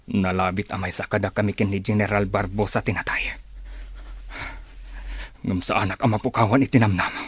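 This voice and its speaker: A man's voice: fairly low pitch, husky, no echo. A wary, defiant gunman. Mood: subdued, guarded. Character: wary male gunman, defiant rival of a general. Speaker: radio drama actor. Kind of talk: Ilocano radio drama acting.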